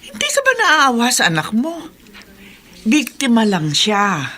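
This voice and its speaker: A woman's voice: on the high side, wildly swooping pitch, measured pace, pushed and bright, heavily acted. An actress with a Filipino accent playing a concerned, outspoken woman friend in a radio drama, insistent and exclamatory. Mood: alarmed, insistent. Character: concerned, outspoken female friend urging mercy.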